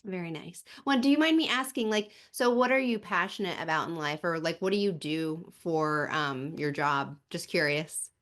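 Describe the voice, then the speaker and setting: A woman's voice: on the low side, normal speed, hardly any pauses, a bit bright. A woman hosting a podcast, asking questions in a friendly, affirming way during a casual interview. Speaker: podcast host.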